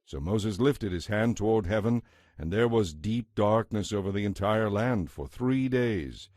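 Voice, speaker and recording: A man narrating scripture from a script as a solemn, measured storyteller. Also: on the low side, lively intonation, darkish tone, no echo, studio quality.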